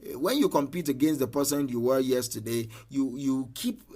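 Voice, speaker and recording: A man's voice: medium pitch, moderate pace, dark tone. A man, a mindset coach giving an earnest motivational talk and repeating key lines for emphasis.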